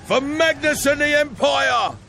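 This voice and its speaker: An actor playing a fierce, loyal soldier in a fantasy war game, shouting urgent battle cries. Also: male voice, extremely high pitch, a little slow, a little hiss or hum, exaggerated voice. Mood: fierce, zealous.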